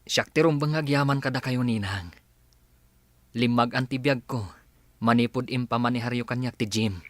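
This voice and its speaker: A man's voice: mid-range voice, moderate pace, no room sound, close to an everyday voice. An actor playing a grateful, respectful working man in an Ilocano radio drama.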